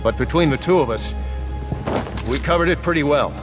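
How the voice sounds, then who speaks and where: A man's voice: fairly high pitch, a little fast, natural voice. An actor playing a dry, principled, plain-spoken male police officer in a video game. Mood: grudging. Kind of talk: cinematic video game acting. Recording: music behind the voice.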